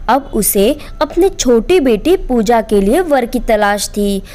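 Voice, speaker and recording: A woman narrates a scripted bedtime tale with a moral. Her pitch sits a little high, and she takes the story very slowly, line by line. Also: animated, warm tone.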